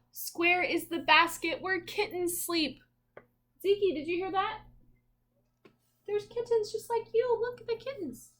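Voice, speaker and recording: A woman's voice: very high-pitched, slow pace, long pauses, a bit bright. A woman teacher reading a picture book aloud to young children in a sing-song way, prompting listeners with questions.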